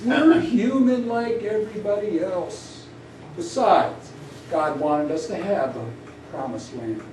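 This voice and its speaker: A man's voice: high voice, animated, takes it slowly, a little mellow. A man voicing an indignant, grieving, combative biblical prophet in a declamatory, accusing epic poetry recitation. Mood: indignant, aggrieved. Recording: distant roomy live recording, faint noise.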